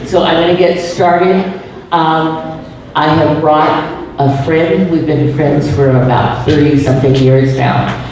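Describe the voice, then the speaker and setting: Guy running a class, chatty and jokey, slightly high voice, echoey and distant. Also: steady tone, slow pace, darkish tone, slight background noise. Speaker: teacher introducing a guest. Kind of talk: classroom presentation.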